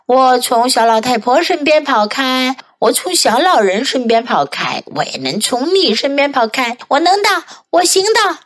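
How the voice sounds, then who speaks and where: A woman's voice: sits high, animated, moderate pace, hardly any pauses, a bit bright, voice pushed up. A woman voicing a cocky, gleeful little gingerbread man from a children's storybook, with a taunting singsong delivery. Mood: boastful. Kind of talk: bilingual children's storybook character voice.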